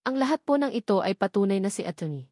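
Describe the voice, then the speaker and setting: A woman's voice: medium pitch, expressive, quick pace, a little mellow, dry recording, studio quality, lightly acted. Woman acting a firm young Filipina accuser. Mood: firm accusing. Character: firm young female accuser exposing a villain.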